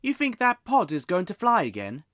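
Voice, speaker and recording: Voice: medium pitch, huge pitch swings, normal speed, fairly bright tone. A performer playing a cheeky, sardonic teenage schoolboy in an audio drama, with a casual way of talking.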